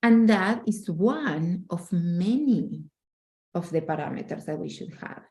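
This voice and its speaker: A woman's voice: lowish voice, expressive, a little slow, mellow. A middle-aged woman from Argentina, speaking earnestly and emphatically as a relationship coach in a casual interview, often restarting her phrases.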